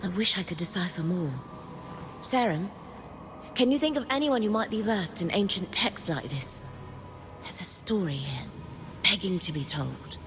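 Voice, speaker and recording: An actress playing an earnest, curious historian in a video game, speaking in a measured, thoughtful way and giving ancient words gravity. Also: female voice, lowish voice, lively intonation. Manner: measured, contemplative, reading aloud with gravity. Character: earnest, curious woman historian and outsider studying ancient inscriptions. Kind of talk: video game character dialogue. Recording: long hall reverb, music behind.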